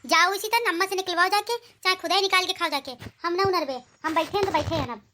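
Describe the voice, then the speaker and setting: A woman voicing sharp-tongued, combative village women in a comic cartoon, with an Awadhi Hindi accent. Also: extremely high pitch, quick pace, slight background noise. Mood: defiant, irritated. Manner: scolding, combative. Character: sharp-tongued village wife and her scolding mother-in-law, cartoon.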